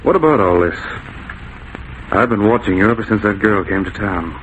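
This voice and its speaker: A steady, decent Mountie voiced by a man with a fairly low pitch and measured, earnest delivery. It is 1940s radio acting, slightly dulled with faint noise. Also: close to an everyday voice. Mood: calm, probing. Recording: dull, faintly noisy vintage radio.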